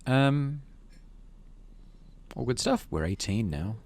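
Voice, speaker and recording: A man's voice: medium pitch, sing-song, slow pace, darkish tone. A man hosting a game let's play, narrating story text and musing aloud in casual, understated commentary. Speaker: gaming channel host.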